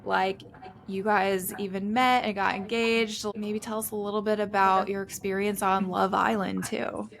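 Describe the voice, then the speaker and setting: A woman's voice: medium pitch, flat delivery, normal speed, vocal fry, slight background noise. A woman hosting a podcast, bubbly and eager, moving from a polished guest introduction into casual, friendly interview chat. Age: adult, married. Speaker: podcast host.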